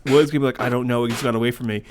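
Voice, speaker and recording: A man co-hosting a casual podcast chat, joking and rambling with restarts, with a somewhat high voice. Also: fairly even intonation, racing. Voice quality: higher voice. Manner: jokey, rambling with restarts and put-on voices in stories. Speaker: podcast co-host.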